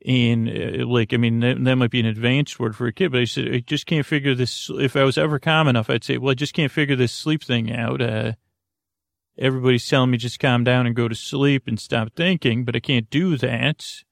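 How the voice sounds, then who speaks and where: A man hosting a bedtime podcast in a rambling, halting monologue, often interrupting himself and wandering off, with a creaky voice. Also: medium pitch, steady tone, no echo, clean studio sound. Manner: meandering, halting, self-interrupting, digressive. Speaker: podcast host.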